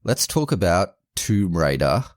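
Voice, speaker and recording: Male podcast co-host, extremely deep, animated intonation, dry close mic. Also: a little slow, dry recording. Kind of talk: casual movie review chat.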